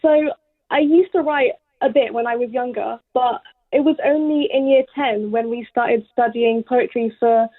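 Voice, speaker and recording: A woman's voice: fairly high pitch, normal speed, dry recording. A seventeen-year-old young woman with a British accent, answering interview questions conversationally. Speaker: interview guest, young poet. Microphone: remote call to radio studio. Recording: dull phone-like line.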